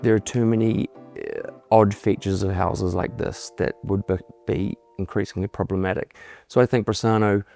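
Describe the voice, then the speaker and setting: A middle-aged man, a homeowner speaking candidly in a relaxed testimonial interview, often restarting his words. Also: fairly low pitch, moderate pace, vocal fry. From New Zealand. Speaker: homeowner giving a client testimonial. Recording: soft music underneath.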